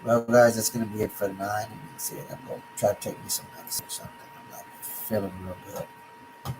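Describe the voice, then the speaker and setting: A man with a raspy voice from feeling sick, rambling casually about betting picks and promo codes with lots of fillers. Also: on the low side, flat delivery, a little fast, background noise. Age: adult. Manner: rambling, many fillers and restarts. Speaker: sports betting tipster.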